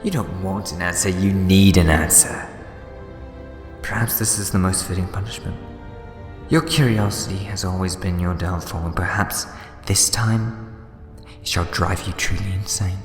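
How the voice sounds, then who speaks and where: A man's voice: low voice, moderate pace. Theatrical male villain, acted by a man, mischievous, taunting, audio drama, echoey, faint music underneath. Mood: menacing, gloating. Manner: mocking, theatrical. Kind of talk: sci-fi fan audio drama acting.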